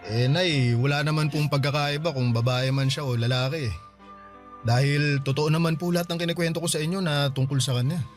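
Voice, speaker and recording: A man's voice: a little high, steady tone, brisk, faint music behind, natural voice. A man playing an earnest, loyal, tender man in a Filipino radio drama. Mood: defensive, pleading.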